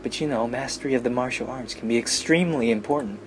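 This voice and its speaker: A man's voice: medium pitch, fast talker, noisy background, lightly acted. A man voicing a bossy, teasing teenage little sister from an anime visual novel, lecturing and scolding.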